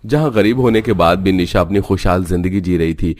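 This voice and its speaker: A man's voice: sits low, fairly quick, a little mellow. A man reading scripted narration for a cartoon story. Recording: music playing behind the voice. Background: background music.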